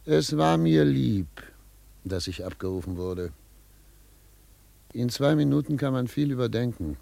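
A self-assured American lawyer in a radio play. His intonation is very lively, in a dry, close studio sound. He is middle-aged, brisk and theatrical, as if thinking aloud. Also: medium pitch, creaky, dry recording. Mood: pensive. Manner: theatrical, pondering aloud, repeating words for emphasis. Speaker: narrator and lead character. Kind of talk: German radio play acting.

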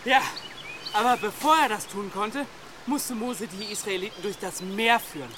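Man playing a very high-voiced, cheeky young novice monk. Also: moderate pace, close to an everyday voice. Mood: exasperated. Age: young adult. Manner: grumbling, flippant. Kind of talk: radio play acting. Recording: clearly noisy outdoor ambience.

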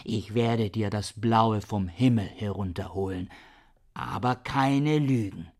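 An actor in a radio play playing a cold, obsessive, misogynist scholar who talks in a pedantic, declamatory way. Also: male voice, lowish voice, takes it slowly, darkish tone. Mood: menacing, insistent. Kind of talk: radio drama acting, literary dialogue.

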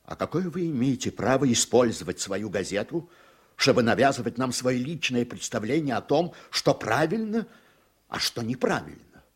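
An actor in a radio play playing a stern, easily indignant judge who speaks formally and with heavy emphasis. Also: male voice, medium pitch, big pitch swings, moderate pace, husky.

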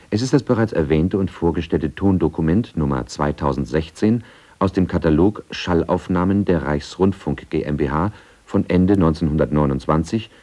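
A man's voice: sits low, mellow. A man reading scripted documentary narration as a radio feature narrator.

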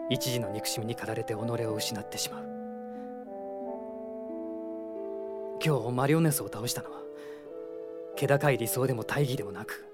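An actor playing a young, idealistic prince in an anime-style radio drama, speaking with polite, earnest formality. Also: male voice, mid-range voice, natural voice. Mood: subdued, reflective.

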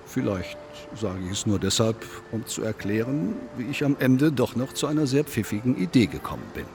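An actor in a radio play playing a self-absorbed congress guest who philosophizes in a pompous, long-winded, declamatory way. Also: male voice, mid-range voice, expressive, normal speed, gravelly, background noise. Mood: self-satisfied. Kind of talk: German radio play acting.

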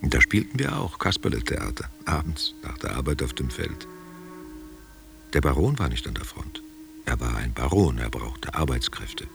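Very deep, creaky old raconteur. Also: male voice, sing-song, normal speed, heavily acted. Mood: reminiscing. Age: older. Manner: musing, theatrical, answering unheard questions. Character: reminiscing old German actor, tender and musing over wine. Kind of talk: literary radio drama monologue.

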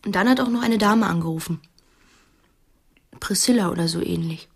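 Cunning upper-class wife, mid-pitched, husky edge, feigned naivety, hesitant phrasing, radio drama. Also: female voice, moderate pace. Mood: feigned innocence. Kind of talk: radio play acting in dialogue.

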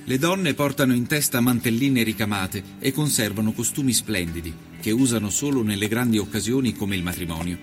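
A man's voice: fairly low pitch, brisk, hardly any pauses, natural voice. An actor playing an observant nineteenth-century journalist, reading his travel diary as scripted narration in speech. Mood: admiring, descriptive. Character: male journalist of the 1890s, observant, admiring traveller. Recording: music behind the voice. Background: music.

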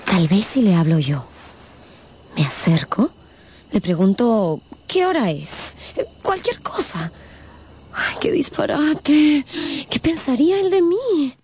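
A woman's voice: on the high side, big pitch swings, takes it slowly. An actress playing an impulsive, naive young woman in love in a radio novel, dreamy, sighing and melodramatic. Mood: yearning.

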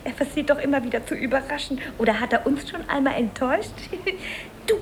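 A gossipy small-town wife in a radio drama. Her high voice is hushed, excited and insistent, over clearly noisy background ambience. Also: fairly quick. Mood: admiring, excited. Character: gossipy, admiring townswoman, a wife whispering to husband. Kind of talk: 1950s German radio play acting.

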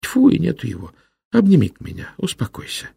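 A tender, soothing mother who hushes her frightened little boy, as a male narrator puts her on. The voice is lifted well above the narrator's own and lilts widely. A faint husky grain stays underneath. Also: fairly high pitch, huge pitch swings, fairly quick, voice pushed up, heavily acted. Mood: comforting. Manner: soothing, hushing.

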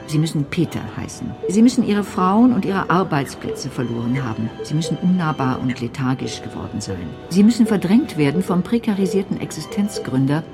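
An actress playing an insistent woman who recites a rhythmic, emphatic list in a radio play. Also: low-pitched. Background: music.

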